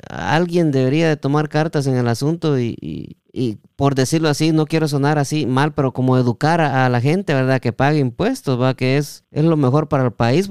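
A man with a Guatemalan accent hosting a casual interview, halting and repeating words, often checking for agreement with tag questions. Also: on the high side, darkish tone, clean tone, no echo, studio quality. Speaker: podcast host.

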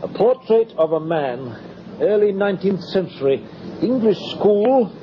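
Radio-play auctioneer: formal, high male voice, slow declaiming delivery, dull old recording. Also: lively intonation. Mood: formal, brisk. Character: formal auctioneer calling lots and bids. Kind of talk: radio drama auction calling. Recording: muffled, noisy old radio drama recording.

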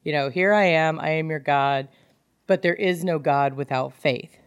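Woman, lower-pitched, casual book chat, animated storyteller, podcast co-host, dry close recording. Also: normal speed, clean tone, dry recording. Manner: animated retelling, acting out dialogue.

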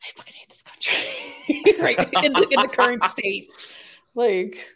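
Woman cohost, kinda high voice, blunt and sweary, gets real excited. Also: normal speed, creaky, breathy edge. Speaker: podcast co-host. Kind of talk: casual chat.

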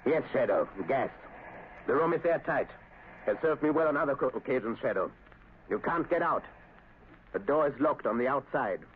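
A sinister, arrogant doctor, played by a man in a 1930s radio drama, speaking with formal, measured menace. The recording is thin and tinny, with faint hiss behind the voice. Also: medium pitch, expressive, lightly acted. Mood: menacing, gloating. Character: cold, arrogant male doctor, a sinister saboteur.

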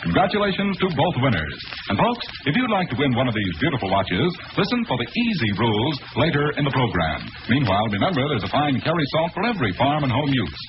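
A man's voice: medium pitch, very animated, fast talker, hardly any pauses. A man announcing a sponsor's message in emphatic, salesman-like 1940s radio style. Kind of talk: 1940s radio advertisement reading. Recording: muffled, noisy old radio recording.

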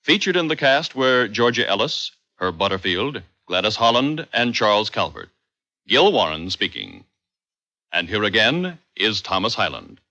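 A man's voice: fairly low pitch, big pitch swings, normal speed, sharp tone. A man with an American accent reading scripted announcements in a polished 1950s radio announcing style. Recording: dull old 1950s radio recording.

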